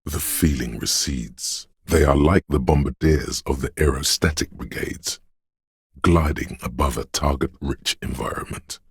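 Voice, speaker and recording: An actor playing the brooding spirit of a windswept city in a video game, narrating poetically in a gravelly, husky voice with a solemn, ominous, hushed manner. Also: male voice, a bass voice, unhurried, dry recording, studio quality, exaggerated voice.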